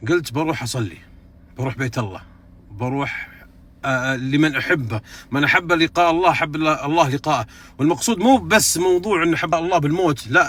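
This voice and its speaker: A man's voice: a little high, bright, forceful tone. A Saudi man giving an earnest religious reflection, repeating phrases in a preaching cadence. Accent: Saudi Arabic. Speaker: speaker recounting leaving hospital.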